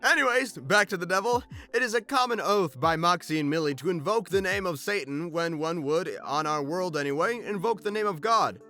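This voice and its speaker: High, bright-voiced man narrating theatrically. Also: animated, brisk, hardly any pauses, faint music behind. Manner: theatrical, wry storytelling delivery. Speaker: video host. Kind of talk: scripted fan-lore video narration with joking asides.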